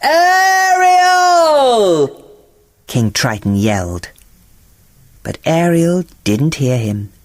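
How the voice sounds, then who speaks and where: A woman narrating a scripted children's bedtime story in an expressive, theatrical storytelling manner. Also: a bass voice, slow pace, long pauses.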